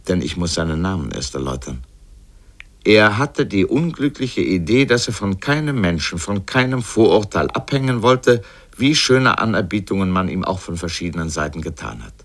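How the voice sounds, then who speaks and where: Deep voiced guy reading a literary radio essay, kinda sardonic and emphatic. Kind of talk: scripted literary radio narration.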